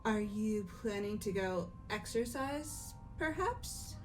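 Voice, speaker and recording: Refined, calculating gothic girl played by a woman, poised and unhurried, game dub over clear music. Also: mid-range voice, animated, darkish tone. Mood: probing. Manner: poised, formal, deliberate. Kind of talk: fan dub of visual novel game dialogue.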